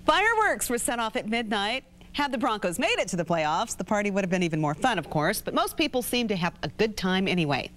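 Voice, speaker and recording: A woman's voice: mid-range voice, big pitch swings, fairly quick, few pauses, a bit bright. A woman with an American accent reading scripted news as an anchor.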